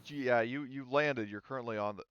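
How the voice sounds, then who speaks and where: A man working as game master, casually explaining tabletop game rules in a halting, rambling way. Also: medium pitch, fairly bright tone, clean tone, muffled recording.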